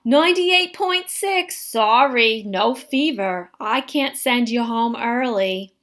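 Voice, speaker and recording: A woman voicing a matter-of-fact school nurse in a children's storybook, with a brisk manner. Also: fairly high pitch, a bit bright.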